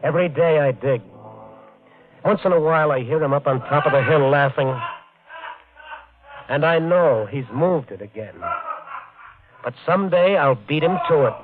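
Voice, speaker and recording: A man's voice: fairly high pitch, normal speed, long pauses, natural voice. Guy acting as haunted treasure hunter losing his mind, brooding old radio drama narration, tinny sound w/ music behind. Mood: obsessed, desperate. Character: obsessed treasure hunter slipping into murderous madness. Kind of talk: 1950 radio drama first-person narration. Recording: tinny vintage radio broadcast, music underneath.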